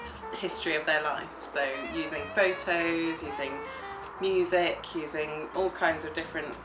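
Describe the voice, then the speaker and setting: Woman coordinator, low voice, earnest, roomy room, music bed. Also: steady tone, unhurried. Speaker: project coordinator. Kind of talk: documentary interview.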